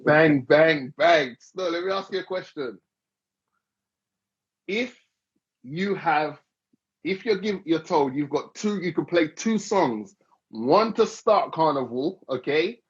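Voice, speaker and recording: Husky-voiced man hosting. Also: a little high, animated, slow pace, long pauses. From Dominica. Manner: playful, teasing, rambling questions. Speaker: interview host. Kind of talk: casual chat. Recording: dull lo-fi recording.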